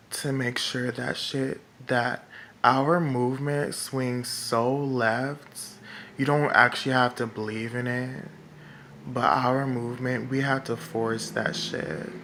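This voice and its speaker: Slow, gravelly man with a feminine-sounding voice. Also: mid-range voice, a bit bright, slight background noise. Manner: halting and rambling, full of fillers and restarts. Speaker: political vlogger. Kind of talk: casual monologue to camera.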